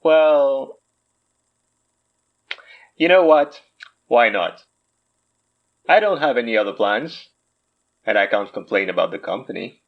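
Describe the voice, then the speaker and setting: A man's voice: mid-range voice, lively intonation, takes it slowly, creaky, pauses often. A man playing a courteous, smug mystic guru in a horror audio drama, with a genteel, theatrical manner. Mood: affable. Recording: slightly dull sound.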